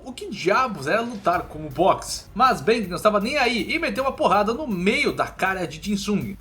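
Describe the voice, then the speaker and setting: High-pitched Brazilian man narrating with lively swings. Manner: informal, colloquial storytelling. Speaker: recap narrator. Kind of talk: scripted narration of a comic story recap.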